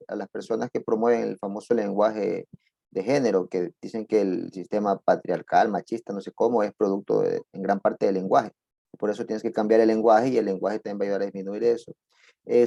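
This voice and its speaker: Middle-aged professor, mellow dark voice, digressive classroom chat. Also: male voice, medium pitch, vocal fry, no room sound, highs cut off. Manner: digressive, chatty, with asides and laughs. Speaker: university lecturer. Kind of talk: conversational online lecture.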